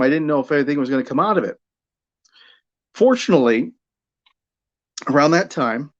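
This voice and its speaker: Dull-sounding recording of a candid American man. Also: mid-range voice. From Buffalo, New York area, United States. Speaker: sports radio play-by-play broadcaster, interview guest. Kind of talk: conversational career storytelling.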